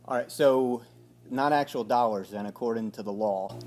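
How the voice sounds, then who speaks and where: A man in his forties at home, asking slow, hesitant, mock-innocent questions on a recorded phone call. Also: medium pitch, darkish tone, faint music behind. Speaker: caller. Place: home living room by a window.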